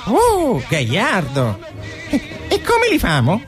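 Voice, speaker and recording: An actor playing a naive, eager underling in a satirical stage sketch, wheedling and questioning in a Roman accent. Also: male voice, high-pitched, huge pitch swings, slow pace, over music, full character voice. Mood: impressed. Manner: wheedling, questioning, dialect-heavy.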